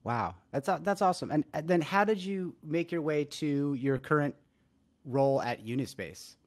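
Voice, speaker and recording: A man's voice: on the high side, lively intonation, normal speed. Friendly male podcast host. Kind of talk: podcast interview hosting.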